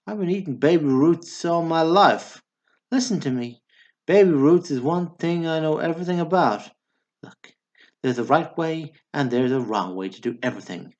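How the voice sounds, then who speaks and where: Man acting a slow-talking blunt Southern small-town guy. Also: fairly high pitch, lo-fi sound. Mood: argumentative, then stubborn. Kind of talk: stage comedy dialogue, radio drama acting.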